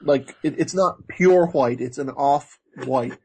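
A man's voice: medium pitch, slow pace, warm tone, a little hiss or hum. A man co-hosting a casual podcast, rambling and joking as he talks. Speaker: podcast co-host. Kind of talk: casual chat about animation.

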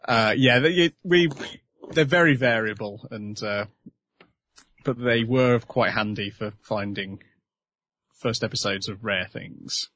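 A man's voice: fairly low pitch, measured pace, a bit bright, no room sound. A man hosting a casual podcast chat, steering the topics and laughing often. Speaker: podcast host. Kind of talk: casual chat about animation.

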